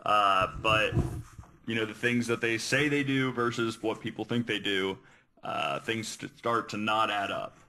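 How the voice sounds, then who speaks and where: Male podcast host. Sharp, very bright voice on the low side, casual and conversational, feeling his way through a story. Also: measured pace, slight background noise, rough recording. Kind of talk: casual conspiracy-theory podcast chat.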